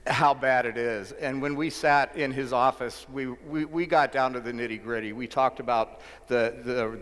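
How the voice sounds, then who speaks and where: A man hosting a live event, introducing a guest with hesitant, admiring remarks. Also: mid-range voice. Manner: hesitant, admiring, many fillers. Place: convention hall. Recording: long hall reverb.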